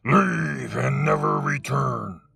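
A man voicing a gravelly father dragon. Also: huge pitch swings, slow, pushed and bright, full character voice. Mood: stern, fatherly. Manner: deep, gravelly, stern. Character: big brave protective father dragon. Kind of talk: storybook reading.

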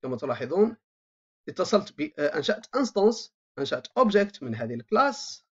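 A coding teacher talks through a screen recording, pausing often on long filler sounds. The pitch swings up and down a great deal. The voice comes through a dull, lo-fi microphone. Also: big pitch swings, fairly bright tone, breathy edge. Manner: hesitant, frequent drawn-out filler sounds, thinking aloud while typing. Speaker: programming instructor. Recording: dull lo-fi voice-over mic.

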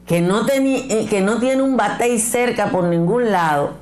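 A woman's voice: on the high side, measured pace, darkish tone. An older woman from the Dominican Republic with a Dominican Spanish accent, delivering blunt, emphatic opinion commentary to camera on a lapel mic in a small studio. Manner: emphatic and blunt, gesturing with her hands. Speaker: political commentator. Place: small indoor studio set with bookshelves.

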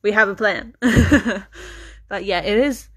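A woman's voice: mid-range voice, takes it slowly. A young woman with an Australian accent, chatting casually and laughing often as she unboxes something on a phone live stream in her bedroom. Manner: chatty, giggly, rambling with frequent laughs. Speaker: vlogger. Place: small cluttered bedroom, indoors. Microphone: phone camera propped on a mug.

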